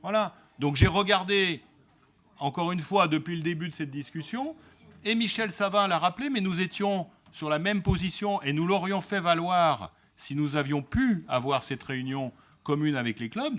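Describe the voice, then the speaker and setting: A man's voice: on the high side, fairly bright tone. A man, a local elected official, speaking in a council debate in a combative, sarcastic and indignant manner, emphatic and often restarting his phrases.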